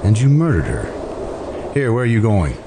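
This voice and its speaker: A man's voice: fairly low pitch, wildly swooping pitch, a little slow, exaggerated voice. An actor playing a stern, suspicious man guarding a murderer in an American radio drama, blunt and accusing. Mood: angry, accusing. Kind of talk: old-time radio drama acting. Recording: amateur radio recording, faint background noise.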